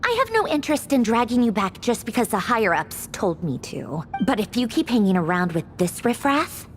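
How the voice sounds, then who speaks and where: Haughty, sardonic villainess, animated. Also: female voice, on the high side, brisk, soft background music. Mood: frank, stern. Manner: sarcastic, dismissive, theatrical. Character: haughty, sardonic young female villain officer, secretly wistful. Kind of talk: video game voice acting.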